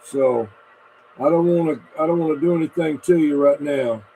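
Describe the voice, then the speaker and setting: A man's voice: fairly high pitch, moderate pace, warm tone, low-quality recording. A congested man hosting a casual livestream, folksy and rambling as he greets viewers and mutters to himself. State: congested, frequent coughing and throat clearing. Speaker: livestream host of a vintage radio show. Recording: clear background noise, room ambience.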